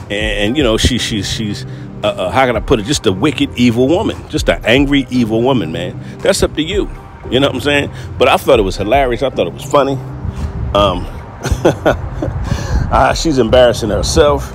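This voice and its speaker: A man's voice: medium pitch, expressive, normal speed, music in the background. A man hosting a casual reaction commentary, rambling with filler words, repeating himself and chuckling. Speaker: host of a commentary channel.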